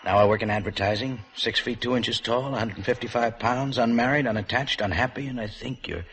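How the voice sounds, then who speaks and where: An actor in a 1950s radio drama playing a flirtatious bachelor in his late twenties, gentle and teasing. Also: medium pitch, fairly quick, lightly acted. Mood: ardent. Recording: noisy 1950s radio recording.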